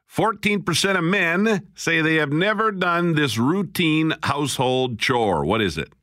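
A man hosting a call-in radio show, chatty and animated, with quick laughs. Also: a little high, dry recording, clean studio sound. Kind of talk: casual call-in radio chat.

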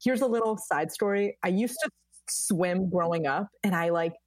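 A woman in her thirties from the United States, a high-energy podcast guest who tells animated stories in casual chat. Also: normal speed, no room sound.

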